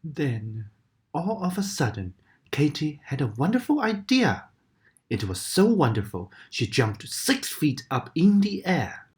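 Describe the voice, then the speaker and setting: A woman reading a bedtime story aloud in a very low voice that swings up and down with feeling. She takes her time and sometimes drops into a whisper for the sad parts. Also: measured pace. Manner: expressive, dramatic, dips into whispers.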